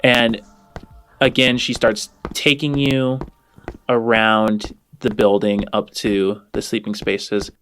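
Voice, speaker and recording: A man's voice: lowish voice, level tone, takes it slowly, a bit bright. Male game master narrating over music. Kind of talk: improvised tabletop game narration.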